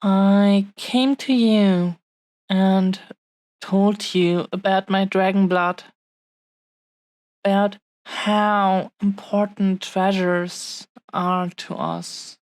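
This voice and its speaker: A woman playing a tender, possessive dragon-blooded fiancée in an intimate romantic audio roleplay, speaking haltingly with lingering, breathy pauses. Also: mid-range voice, fairly even intonation, a little mellow, no room sound. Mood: earnest, devoted.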